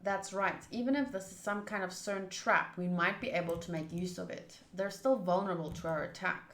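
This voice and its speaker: Bright-voiced young woman streaming a game. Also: fairly low pitch, steady tone, brisk. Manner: playful, self-mocking. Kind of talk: reading game dialogue aloud with casual commentary. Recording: roomy sound over game music. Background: faint game music.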